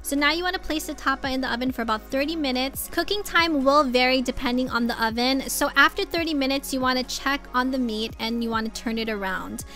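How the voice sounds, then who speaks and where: A woman's voice: sits high, brisk, hardly any pauses, pushed and bright, soft background music. A young woman hosting a cooking video from her home kitchen, explaining each step to her viewers in an upbeat, instructive way. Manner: upbeat, instructive, addresses viewers as you guys. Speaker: cooking vlogger. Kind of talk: cooking tutorial narration.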